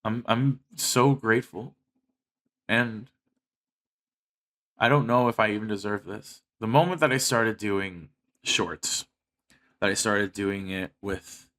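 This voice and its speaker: Bright-voiced thirty-year-old man, earnest. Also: fairly low pitch. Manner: earnest, heavily swearing. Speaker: online video creator making comic dubs and skits. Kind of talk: emotional personal monologue to audience.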